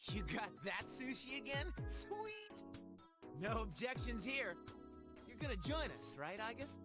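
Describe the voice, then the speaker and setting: A man playing a brash, casual teenage boy in a video game, blunt and expressive in a slangy way. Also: extremely high pitch, a little slow, loud music behind the voice, exaggerated voice. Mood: excited. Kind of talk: scripted video game cutscene acting.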